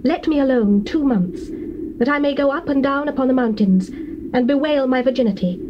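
An actress playing a dutiful, sorrowful young daughter in a formal, earnest scripture drama. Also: female voice, high-pitched, normal speed, lightly acted. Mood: sorrowful, resigned. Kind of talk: dramatized scripture reading, classical stage delivery. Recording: muffled old cassette, clear hiss.

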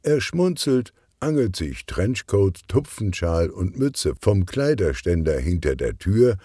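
A male narrator reads a children's detective story. His voice is very low and a little husky, measured in pace and expressive throughout. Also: no echo, clean studio sound.

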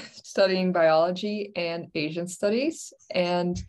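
A woman's voice: fairly low pitch, fairly even intonation, takes it slowly, darkish tone. A young woman from Belgium, a student researcher, introducing herself over a video call. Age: young adult. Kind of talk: self-introduction.

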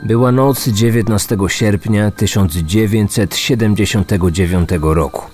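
Low-voiced man narrating true crime over music. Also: a little mellow. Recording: music bed under the voice. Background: background music.

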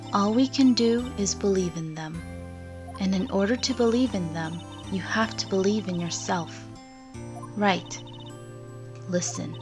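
A woman's voice: medium pitch, lightly acted. Woman acting as composed, kindly mentor lawyer. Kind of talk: visual novel fan dub. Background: game music.

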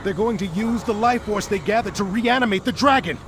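Man playing an earnest, high-voiced young soldier. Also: a little fast, noisy background, close to an everyday voice. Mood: urgent, worried. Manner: earnest, urgent delivery. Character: young male human soldier, earnest and urgent. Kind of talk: fantasy video game acting. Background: dramatic music.

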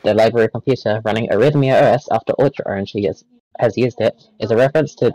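Man, fast reading, stumbles on words, dry close mic, voice chat. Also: mid-range voice, mellow, no room sound, poor audio. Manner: stumbling over words and restarting. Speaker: voice chat participant reading a wiki page aloud. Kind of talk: rapid deadpan read-aloud in a voice chat.

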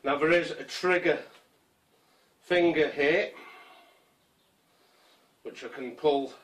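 A man in his fifties, casually showing his camping gear in a small conservatory, rambling and unhurried with many ums and restarts. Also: fairly high pitch, a bit bright, distant mic. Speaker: survival gear vlogger. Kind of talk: casual show-and-tell. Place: small conservatory full of kit. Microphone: camera mic from a few feet away.

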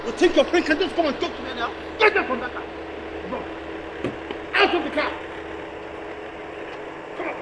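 A performer playing a furious stranger who shouts and rants with wild leaps in pitch. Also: expressive, moderate pace, pauses often. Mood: raging. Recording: loud street noise with traffic, distant mic.

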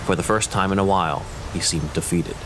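A man narrating an audio drama in a measured, scripted storytelling manner. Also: sits low, noisy background.

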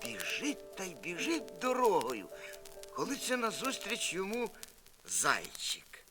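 A man narrating a children's fairy tale as an expressive, theatrical folk storyteller. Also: sits high, measured pace. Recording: vinyl record transfer with music.

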